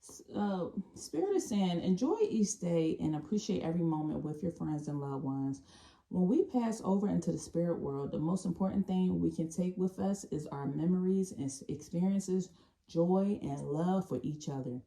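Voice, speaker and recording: A woman giving an intuitive tarot reading, talking in a calm, meandering way and often restarting or repeating phrases. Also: fairly low pitch, moderate pace, gravelly. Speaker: tarot reader.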